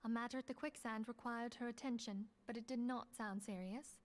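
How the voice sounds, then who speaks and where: A man voicing a gentle, formal young noblewoman in a video game, with courtly phrasing. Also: very high voice, fairly even intonation, brisk, voice pushed up. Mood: courteous. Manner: formal old-fashioned phrasing.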